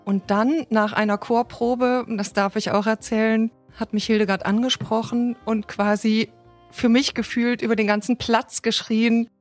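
A woman in her mid-fifties from Thuringia in Germany, thoughtfully recounting her personal story, with soft music underneath. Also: on the high side, level tone, moderate pace. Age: 50s. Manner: reflective and thoughtful. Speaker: interviewee.

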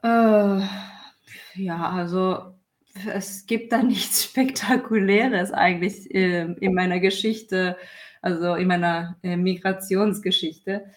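A woman's voice: lowish voice, fairly even intonation, slow. A woman from Iran, a musician and guest, speaking thoughtfully with frequent fillers. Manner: thoughtful, fillers, varying pace. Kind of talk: casual interview talk.

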